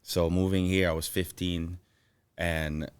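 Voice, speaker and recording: A very deep-voiced man, speaking as a podcast guest. He tells his story in an animated, easygoing way. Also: slow pace, clear, resonant tone. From Guyana. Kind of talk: casual interview.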